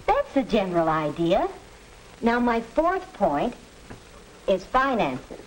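An actress playing an earnest, patient middle-aged American mother in a 1940s educational film, speaking in a measured way. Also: mid-range voice, big pitch swings. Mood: earnest, organizing. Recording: hissy, noisy 1940s film soundtrack.

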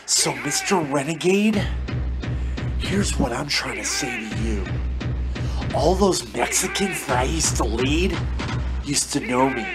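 A man delivering a scripted trash-talk wrestling promo, swinging between loud shouted taunts and low muttering, recorded close on a webcam indoors. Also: expressive, takes it slowly, long pauses. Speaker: hobby wrestler in character. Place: indoor room with window blinds. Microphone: webcam close to face. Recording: music clearly playing behind.

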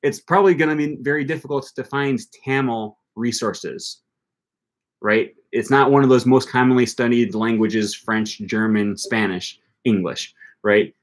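A man's voice: medium pitch, steady tone, measured pace. A young man hosting a casual livestream, reading viewer comments aloud in an upbeat way with many ums and restarts, close to a desk mic in a small room. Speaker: language-learning channel host. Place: small room with bookshelf, indoors. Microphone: desk microphone close in front.

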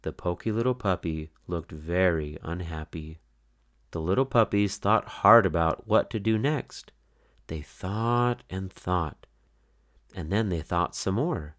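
Very low-pitched storybook narrator. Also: slow. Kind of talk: scripted children's read-aloud narration.

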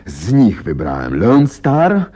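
A determined detective in a radio play, low husky voice, very self-assured, coolly vengeful. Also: male voice, huge pitch swings, mellow, exaggerated voice. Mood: triumphant, explaining. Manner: deliberate, self-assured, dramatic. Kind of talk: Polish radio drama acting.

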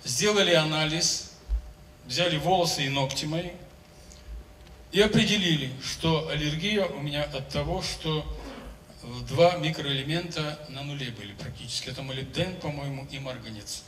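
A man's voice: a little high, fairly bright tone, slight background noise. Older man speaking on a big stage. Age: 70s. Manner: measured, declamatory storytelling. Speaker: singer giving a testimonial on stage. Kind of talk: stage speech to an audience. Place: large concert hall stage. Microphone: handheld microphone. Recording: roomy hall sound from a distance.

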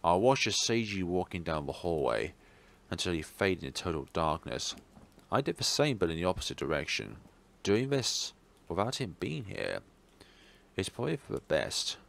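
A man with a fairly low voice narrates a horror visual novel for a let's play. His delivery is dramatic, with pitch that moves around a lot. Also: big pitch swings, moderate pace, gravelly, a bit bright. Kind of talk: dramatic narration of game text.

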